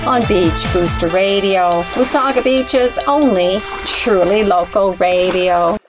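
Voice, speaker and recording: A woman's voice: mid-range voice, takes it slowly, over loud music, poor audio. A woman presenting and interviewing as a radio show host, reading from a script.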